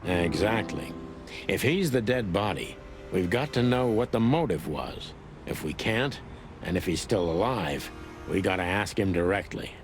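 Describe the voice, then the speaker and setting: A low, world-weary old rooster gumshoe in a noir detective game. He speaks tersely and broodingly, a gruff loner who puts others first. Music plays behind him. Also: animated, a little slow. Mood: serious. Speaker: narrator and lead detective. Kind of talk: hardboiled film noir voice acting.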